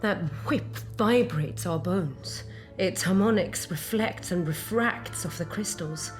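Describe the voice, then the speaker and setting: A woman playing a dreamy, tender heroine recalling what she senses in a soft, breathy, hushed monologue, savoring each phrase. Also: on the low side, slow. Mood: hushed wonder. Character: dreamy, tender woman hero recalling sensory memories with wonder. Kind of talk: intimate dramatized monologue. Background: music.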